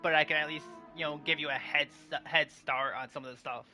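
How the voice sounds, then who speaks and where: Guy streamer chatting, really bright punchy voice, rambly, game music low in the back. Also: fairly even intonation, fast. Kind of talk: casual chat while livestreaming.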